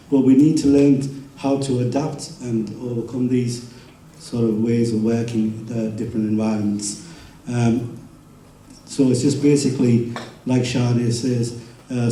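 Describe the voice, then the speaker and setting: A middle-aged man from Kenya giving a relaxed, informal talk at a community event, with frequent ums and uhs. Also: mid-range voice, echoey room, distant mic, low-quality recording. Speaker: community speaker.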